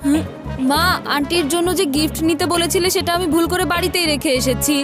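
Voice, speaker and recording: A woman's voice: very high voice, steady tone, fairly quick. A woman voicing a scheming, tattling younger child in a children's cartoon, with a sly childlike manner. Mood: coaxing. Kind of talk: Bangla children's cartoon dubbing. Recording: music behind the voice.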